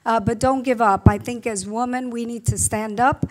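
A middle-aged woman with a fairly high voice gives an earnest speech at a panel table. She leans on frequent fillers and restarts. Her voice is caught by a table microphone in a conference room. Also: steady tone, normal speed, a little mellow. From Puerto Rico. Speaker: panel speaker. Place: conference room with blue drapes.

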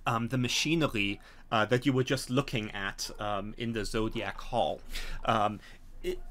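A man's voice: fairly low pitch, fairly even intonation, a little slow, a bit bright. A middle-aged man narrating as game master, explaining things in hesitant phrases. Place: indoor home study, video call.

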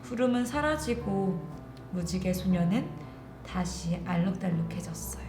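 A woman narrating a children's bedtime story in an expressive storyteller's style. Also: lowish voice, a little slow. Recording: roomy echo, music underneath.

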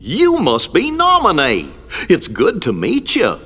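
An actor voicing a kindly, loyal, dopey cartoon dog in a video game, with a husky voice. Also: male voice, on the high side, wildly swooping pitch, takes it slowly, pushed and bright, slight background noise, exaggerated voice. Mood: cheerful. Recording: long hall reverb.

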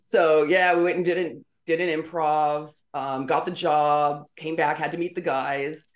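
A woman's voice: sits low, steady tone, measured pace. A middle-aged woman, a guest on a video call interview, telling stories in a chatty way and laughing often. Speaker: interview guest, former model. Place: home room with framed pictures on the wall.

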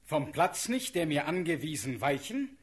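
An actor playing a distracted, ardent young prince in a classical radio drama, with an absent-minded, poetic delivery. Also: male voice, on the high side, big pitch swings, moderate pace. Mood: bewildered.

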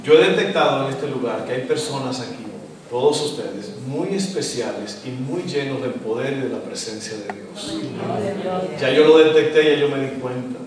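A middle-aged Dominican man with a Dominican Spanish accent, preaching a sermon as guest pastor, animated and asking the congregation questions. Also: medium pitch, normal speed, distant mic, crowd noise. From Dominican Republic. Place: church stage, indoors, large hall. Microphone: handheld mic. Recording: echoey hall, recorded from afar.

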